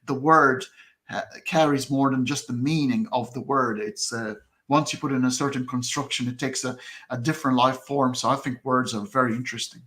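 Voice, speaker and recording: A man whose first language is Arabic, speaking as a guest in a casual conversation, thoughtful and halting with many restarts. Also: medium pitch, fairly even intonation, sharp tone.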